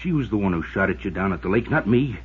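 A desperate ranch foreman with a gun in a 1950s drama. His voice is very husky and swings widely in pitch as he insists and justifies himself. The recording is dull and old. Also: expressive, fast talker, heavily acted. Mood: frantic, pleading. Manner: frantic, self-justifying, insistent repetition. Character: desperate guilt-ridden ranch foreman turned cornered killer. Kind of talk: 1950s radio drama acting.

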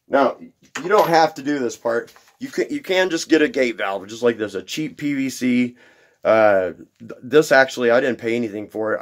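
A man in a cluttered home workshop, explaining a build step by step in a casual, rambling way, with plenty of fillers and repeated words. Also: mid-range voice. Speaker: DIY video host. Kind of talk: casual workshop how-to demonstration.

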